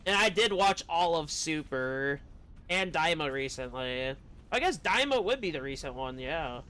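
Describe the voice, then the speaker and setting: A man chatting casually on a game livestream, rambling and halting as he talks. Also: on the high side, lively intonation, pushed and bright. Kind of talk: casual chat while streaming a game. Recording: game music behind the voice. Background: video game music.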